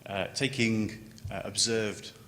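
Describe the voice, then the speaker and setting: A man giving an unscripted technical conference presentation as a scientist, halting and often repeating words as he starts a phrase. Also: steady tone, fairly bright tone. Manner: halting, with stammered word repetitions. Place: large conference room. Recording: echoey hall, noticeable background noise, low rumble.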